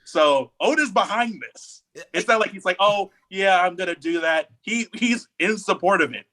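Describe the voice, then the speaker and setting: A man's voice: high-pitched, lively intonation, moderate pace, pushed and bright, rough recording. A man co-hosting a podcast, talking casually and giving opinions with emphatic, repeated phrasing. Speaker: podcast co-host.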